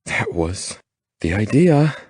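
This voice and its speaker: Male narrator doing a young woman, breathy and flustered, lifted well above his own low voice. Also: big pitch swings, takes it slowly, a little mellow, dry recording, heavily acted.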